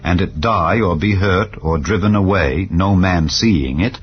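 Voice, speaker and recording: A man narrating scripture in a solemn, measured, declarative manner, as in a dramatized Bible reading. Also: deep voice, muffled recording. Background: clear background music.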